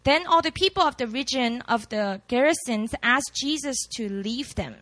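A woman in her late twenties, a pastor with a Korean accent, chatting warmly and laughing at herself before reading scripture to a campus group. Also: on the high side, animated, moderate pace, no room sound. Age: late 20s. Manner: chatty, self-deprecating, laughs often.

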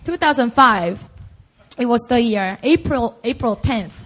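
A young woman preacher with a Korean accent and a high, bright-sounding voice. She talks to the congregation casually and with emphasis, tossing in "like" and "right?" between her points. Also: expressive, measured pace. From Busan, South Korea. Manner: chatty and emphatic, full of "like" and "right?". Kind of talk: conversational sermon.

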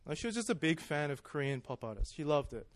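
A man's voice: a little high, very animated, quick pace, no echo. A man, preaching in a chatty, self-deprecating storytelling way that often breaks into laughs. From Australia. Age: 30s. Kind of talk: personal storytelling sermon.

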